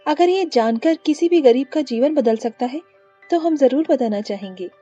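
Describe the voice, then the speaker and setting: A woman's voice: high-pitched, animated, normal speed, mellow, soft background music. A woman voicing a hardworking, affectionate mother-in-law who sells coconut water in a story, proud and motherly, on muffled audio. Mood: proud, earnest.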